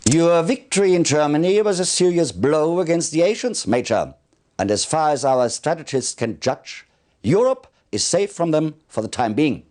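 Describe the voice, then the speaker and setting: An actor playing a formal military commander, giving measured, deliberate mission briefings in a video game cutscene. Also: male voice, fairly high pitch, sing-song, darkish tone. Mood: official, serious.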